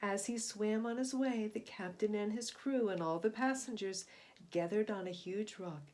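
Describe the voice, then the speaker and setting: A woman reading a children's story aloud in an expressive, emphatic style, moving between hushed and booming delivery. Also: normal speed. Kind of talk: expressive scripted storybook narration.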